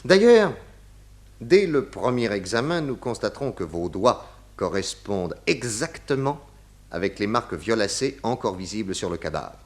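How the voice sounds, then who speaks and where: A man playing a shrewd, assured detective in a 1960s French radio play, speaking formally with deliberate enunciation. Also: fairly low pitch, big pitch swings. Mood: accusatory, triumphant. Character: shrewd, assured male detective laying out his case.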